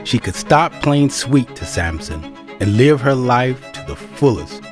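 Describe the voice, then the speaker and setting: A man's voice: medium pitch, very animated, measured pace. Male storyteller over string music. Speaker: narrator of an audio drama. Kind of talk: storytelling narration with wry asides.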